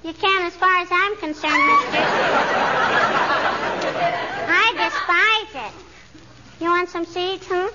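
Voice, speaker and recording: High, chirpy little girl. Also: slow pace, pauses often, a loud crowd, heavy background noise. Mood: cheeky, insistent. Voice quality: childlike voice. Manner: earnest, insistent, literal-minded. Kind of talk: 1940s radio comedy acting. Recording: dull vintage 1940s radio recording.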